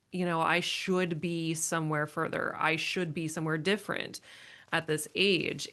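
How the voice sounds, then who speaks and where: A woman's voice: lowish voice, fairly bright tone. A woman in her forties, a podcast guest, talking in long reflective runs in a casual interview. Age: 40s.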